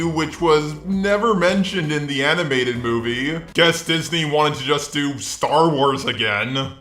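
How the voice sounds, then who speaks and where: A man's robotic, synthetic voice gives sarcastic video commentary. It sits fairly high and sounds bright and forceful, with a roomy echo around it. The delivery is scripted, opinionated and peppered with swearing. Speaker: video essay host.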